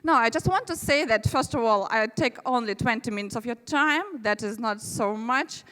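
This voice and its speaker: Russian-accented woman presenter at a conference, bright, smooth and clear, echo of a large hall. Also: on the high side, moderate pace. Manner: friendly, chatty, with asides to the audience. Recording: reverberant hall.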